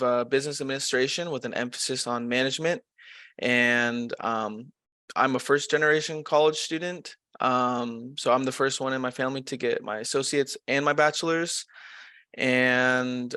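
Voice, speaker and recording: A young man from Alaska with a bright, crisp, clean voice, recorded very dry. He keeps things brief and laid-back, pausing as he introduces himself on a video call. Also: mid-range voice, no room sound. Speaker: student panelist.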